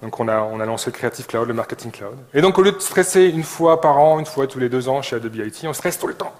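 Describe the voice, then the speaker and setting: Male conference speaker, medium pitch, brisk pace, colloquial with hesitant repetitions. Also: lively intonation. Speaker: conference speaker, tech company employee. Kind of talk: informal co-presented tech talk. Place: live talk before an audience.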